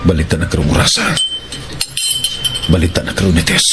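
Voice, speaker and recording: A man's voice: sits low, level tone, a little fast, creaky, heavy background noise. A man playing a bitter, sardonic, grumbling opponent of politicians in a radio drama. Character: bitter, mocking male opponent of corrupt politicians. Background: loud music.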